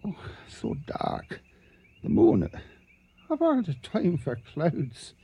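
An actor playing an anxious, fretful doctor on a lion hunt in a radio drama, stammering when afraid. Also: male voice, a little high, wildly swooping pitch, slow, gravelly, warm tone, slightly rough, heavily acted. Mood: nervous.

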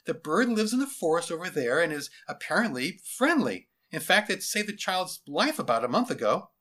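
A man's voice: fairly high pitch, sing-song, moderate pace, a bit bright, voice pushed up. A man voicing an earnest, deferential army lieutenant in a story, with eager and respectful military replies. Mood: reporting.

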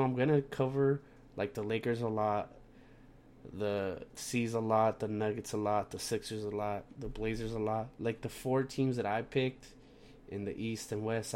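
A man's voice: lowish voice, darkish tone, slight background noise. A young man hosting a casual sports podcast, sounding plugged up from a cold and rambling with lots of fillers and restarts. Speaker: podcast host.

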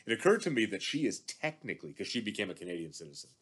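A man hosting a podcast, chatting casually and telling stories in an animated way, with lots of restarts and laughter. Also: lowish voice, very fast, husky. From Montreal area. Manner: animated storytelling, many fillers and restarts, laughs often. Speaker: podcast host. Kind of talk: casual conversational interview.

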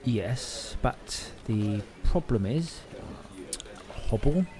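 A plain-spoken shelter worker in a naturalistic audio drama. He has a middle-pitched voice and speaks in a firm, official way, with clear ambient noise behind him. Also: expressive, very slow. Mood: patient, firm.

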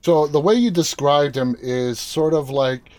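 A man's voice: fairly high pitch, a little slow, darkish tone. A man hosting a live interview, asking questions in a casual, conversational style. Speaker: interview host.

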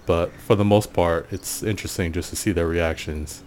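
An American man giving a casual, reflective solo monologue in an unhurried, musing way, often pausing mid-sentence. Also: low voice, darkish tone, a little hiss or hum. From Portland, USA. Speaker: podcast host.

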